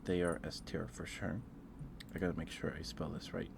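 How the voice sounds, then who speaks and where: A man hosting an ASMR livestream, chatting casually in a halting, rambling way with pauses and repetitions. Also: low voice, level tone, gravelly, background noise. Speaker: livestream host.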